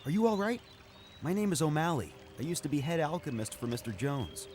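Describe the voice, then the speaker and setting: A man's voice: on the high side, sing-song, brisk, noisy background. A man playing an earnest, secretive undead alchemist in a video game, speaking with urgency. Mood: urgent, cautioning. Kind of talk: video game cutscene acting.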